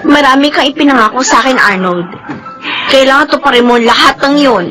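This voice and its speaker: An actress playing a demanding, wheedling young mistress in a Filipino radio drama, coaxing and whiny. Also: female voice, high-pitched, lively intonation, fairly quick, loud music behind the voice. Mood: insistent.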